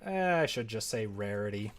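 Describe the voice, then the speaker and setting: A man chatting casually on a livestream, thinking out loud in an informal, rambling way. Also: on the low side, sing-song, moderate pace. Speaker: streamer writing a visual novel.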